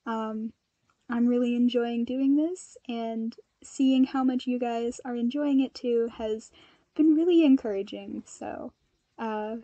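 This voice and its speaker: A woman narrator with an expressive storytelling style. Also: on the high side, takes it slowly, no echo, highs cut off. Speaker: fan fiction narrator.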